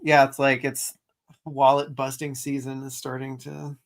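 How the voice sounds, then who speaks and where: A man's voice: medium pitch, completely flat delivery, measured pace. A man co-hosting a toy podcast, laid-back with short casual replies. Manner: laid-back, brief replies, says y'all. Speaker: toy news podcast co-host. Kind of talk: casual chat.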